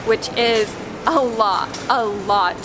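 A woman's voice: a little high, slow pace. A young American woman filming herself in a large supermarket, chatting casually and comparing grocery prices. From United States. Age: young adult. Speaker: travel vlogger. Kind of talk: casual vlog commentary. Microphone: handheld camera held close. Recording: store hum behind voice.

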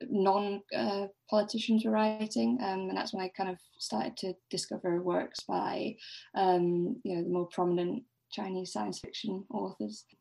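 A woman's voice: medium pitch, vocal fry, warm tone, poor audio. A young woman answering interview questions casually as a podcast guest, in a hesitant, thoughtful manner.

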